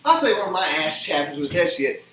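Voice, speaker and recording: A man's voice: a little high, big pitch swings, quick pace, background noise. A man hosting a loose podcast, rowdy and swearing, often breaking into laughs. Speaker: podcast host. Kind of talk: loose profane show hosting.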